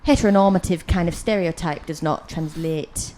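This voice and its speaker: A woman's voice: fairly low pitch, a little mellow, a little hiss or hum. A woman co-hosting a podcast in casual chat, teasing her co-host and asking probing questions. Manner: teasing, questioning, quick quips.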